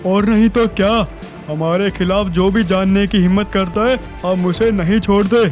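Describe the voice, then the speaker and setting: A man voicing a vengeful, defiant gangster in an animated crime story. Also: high voice, moderate pace, soft background music, natural voice. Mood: defiant, threatening. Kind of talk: animated story villain acting.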